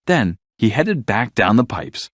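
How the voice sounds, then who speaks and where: A man reading a children's bedtime story as narrator, in an expressive, scripted storytelling style. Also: medium pitch, unhurried, no echo, clean studio sound.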